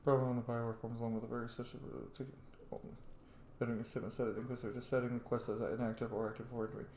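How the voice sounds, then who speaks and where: A man's voice: mid-range voice, little pitch movement, rapid-fire, darkish tone, reverberant, a little hiss or hum. A man giving casual commentary while playing a video game. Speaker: gaming host, player.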